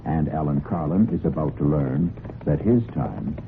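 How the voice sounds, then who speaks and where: Male mystery-radio host and narrator: low, very expressive, ominous and theatrical, on a narrow vintage broadcast. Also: moderate pace, gravelly. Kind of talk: scripted dramatic narration, old-time radio. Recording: muffled vintage radio with music.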